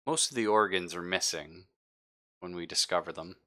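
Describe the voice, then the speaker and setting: A man voicing a guarded female ship android in a tabletop game, formal and halting, reporting in a measured, clinical way. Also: low-pitched, sharp tone. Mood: grave, clinical.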